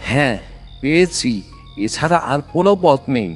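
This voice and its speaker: A man playing a pious, shrewd, kindly old man in a dramatic cartoon story, speaking in a deliberate, theatrical way. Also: on the high side, expressive, faint music behind. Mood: anxious, praying. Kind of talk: dramatic animated moral story.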